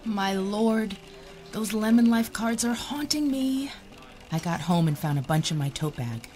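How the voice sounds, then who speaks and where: An actress playing a kindly, slightly awkward physics professor in a natural audio drama. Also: female voice, mid-range voice, lively intonation, a little slow, mellow, faint music behind, close to an everyday voice. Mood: gentle.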